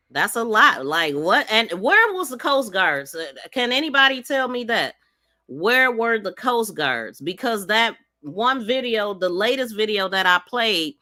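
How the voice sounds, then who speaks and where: A woman's voice: medium pitch, bright, forceful tone, dry recording, studio quality. A woman hosting a casual true-crime commentary, speaking in a skeptical, questioning manner. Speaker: podcast host.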